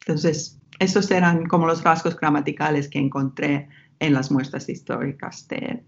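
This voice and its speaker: A woman's voice: sits low, fairly even intonation, normal speed, creaky. A woman researcher from Finland with a Finnish accent, whose first language is Finnish, speaking thoughtfully in an academic interview with frequent restarts. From Finland, Ostrobothnia. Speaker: researcher guest. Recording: slightly lo-fi.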